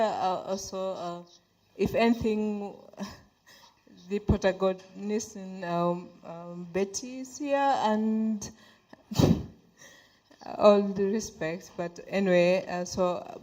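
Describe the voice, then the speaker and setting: Woman panelist, unsure, stopping and restarting, slow pace. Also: medium pitch, fairly even intonation, mellow, low-quality recording. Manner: hesitant, halting, many fillers. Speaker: panel speaker. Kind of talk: unscripted panel remarks.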